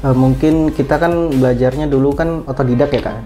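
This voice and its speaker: A man giving brief, plain, halting answers as a guest in a casual interview. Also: medium pitch, fairly even intonation, warm tone. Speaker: podcast guest, IT security worker. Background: music.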